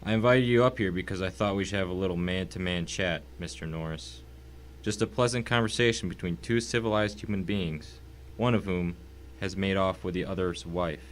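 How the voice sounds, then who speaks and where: Man playing a deep-voiced, urbane, menacing villain. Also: a little fast, a little hiss or hum, lightly acted. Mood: courteously menacing. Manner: urbane, formal, mock-courteous. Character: calm, wealthy, menacing husband, cultured villain. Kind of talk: dramatic audio reading of a short story.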